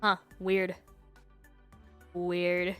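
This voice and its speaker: A woman hosting a livestream, chatting casually and reacting to online stories in a giggly, animated way. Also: fairly low pitch, extremely slow, smooth, soft background music. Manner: giggly, animated, breaking into laughter. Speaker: livestream host.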